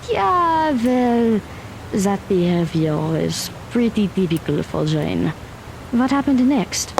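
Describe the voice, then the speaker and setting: A woman's voice: medium pitch, big pitch swings, slow pace, vocal fry, heavily acted, low-quality recording. A woman playing a skeptical, judgmental teenage girl in an audio drama. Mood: skeptical, disapproving.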